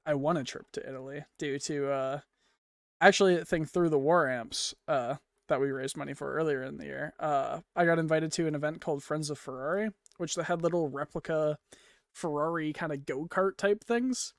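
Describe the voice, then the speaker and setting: Chatty young man, bright-pitched voice. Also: normal speed, no echo, studio quality. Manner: chatty, laughing storyteller. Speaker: podcast co-host. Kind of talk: casual chat.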